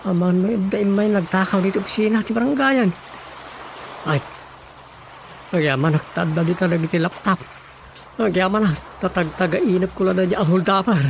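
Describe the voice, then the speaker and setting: High-pitched wry village councilman. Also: normal speed, creaky, long pauses, slight background noise. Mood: bemused. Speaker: radio drama actor. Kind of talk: radio drama comedy acting.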